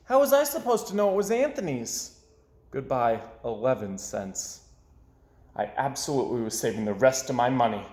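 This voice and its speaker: A man voicing a dramatic, hard-done-by young boy in an expressive storybook read-aloud, theatrical and emphatic. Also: medium pitch, normal speed, reverberant, lightly acted. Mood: aggrieved, rueful, then exasperated. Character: young boy, dramatic, aggrieved, forever losing his money.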